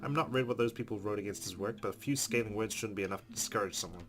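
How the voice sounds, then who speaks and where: A man's voice: on the low side, racing. A man hosting a let's play, reading game narration aloud and adding casual comments. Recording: game music behind the voice.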